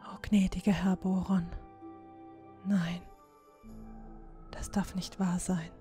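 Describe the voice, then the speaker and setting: A woman plays a frightened young female dwarf in a fantasy radio play. She speaks slowly and haltingly, trembling with horror, over clear background music. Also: lowish voice, flat delivery, warm tone. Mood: horrified, shaken. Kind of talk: fan-made fantasy audio drama acting.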